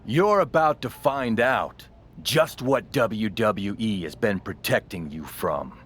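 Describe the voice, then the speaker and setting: A swaggering, expressive veteran wrestler. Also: male voice, on the low side, slow, a little hiss or hum. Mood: bitter, aggrieved. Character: boastful veteran male wrestler with a cheery, wide-eyed alter ego. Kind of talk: scripted video game cutscene acting.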